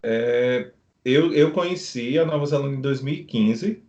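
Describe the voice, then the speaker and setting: A middle-aged Brazilian man with a Brazilian Portuguese accent, a guest in a casual interview, recounting his story in unhurried, rambling anecdotes over a video call. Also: medium pitch, darkish tone. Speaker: guest, beekeeper living abroad. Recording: dull lo-fi call audio.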